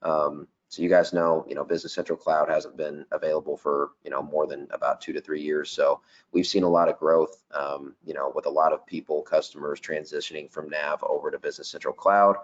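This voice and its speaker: A man giving a casual software product presentation in a webinar, with lots of "um" and "you know". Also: a bass voice, little pitch movement, a little fast, lo-fi sound. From not Danish. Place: office.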